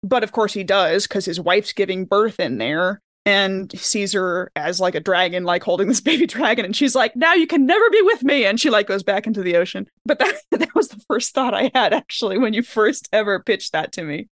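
A woman's voice: medium pitch, expressive, fairly quick, hardly any pauses, dry recording, clean studio sound. A woman chatting casually and informally as a podcast panelist, with a giggly manner. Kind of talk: casual chat.

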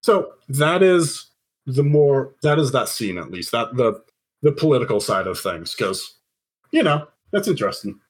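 Husky, animated male game master. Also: medium pitch, a little slow. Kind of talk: casual narration of a tabletop game.

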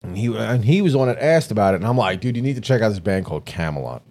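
A man's voice: lowish voice, expressive, racing, darkish tone. A man with a New York accent, co-hosting a podcast in casual, profane, rambling chat. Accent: New York, Bronx-tinged. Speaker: podcast co-host.

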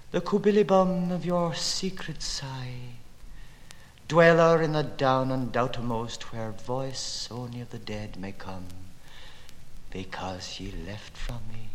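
Grandiose reciter in long reverb. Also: male voice, medium pitch. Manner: declamatory, incantatory, dramatic swells and hushed endings. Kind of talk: theatrical literary recitation from a record. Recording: noisy old record with long reverb.

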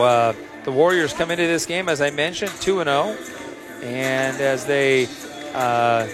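Slightly hoarse American sports announcer. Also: male voice, mid-range voice. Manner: rambling, many "uh" fillers and restarts. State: a little hoarse. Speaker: play-by-play announcer. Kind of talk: pregame sports broadcast commentary. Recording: music in the background.

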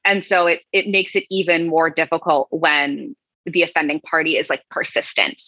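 A woman's voice: low-pitched, steady tone, moderate pace, bright, forceful tone, husky, dry recording. A woman co-hosting a podcast in casual chat, hesitant and filling her sentences with fillers and restarts. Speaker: podcast co-host. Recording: dull, dry recording.

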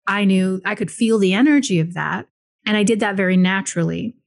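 A woman from Hawaii, a spiritual teacher guesting on a podcast, telling her life story in a calm, reflective, earnest way. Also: mid-range voice, brisk, creaky. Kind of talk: interview storytelling.

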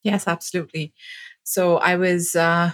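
Woman in her 40s, very low pitch, earnest, measured, pauses with fillers, interview guest. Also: little pitch movement. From Pakistan, raised in Abu Dhabi. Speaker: guest, author and medical student. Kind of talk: interview storytelling.

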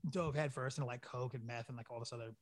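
A young man talks about his band in a loose, rambling way, swearing freely and tripping over his own restarts. His voice sits at an ordinary pitch, recorded close and dry with no room sound. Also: steady tone, fast, dry recording. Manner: laid-back, profane, rambling with restarts. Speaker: band singer, guest. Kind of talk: casual interview chat.

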